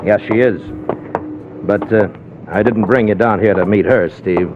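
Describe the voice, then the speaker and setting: An actor playing a guarded, possessive man scheming for a fortune in a 1950s radio drama, with clipped lines and pauses. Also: lowish voice, close to an everyday voice. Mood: guarded, serious. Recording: dull, noisy old radio recording.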